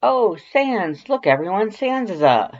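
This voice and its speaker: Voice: medium pitch, big pitch swings, unhurried, highs cut off, pitched above the performer's own voice. A narrator voicing an exasperated, sarcastic human woman in a story, defensive and petulant. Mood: flustered, defensive.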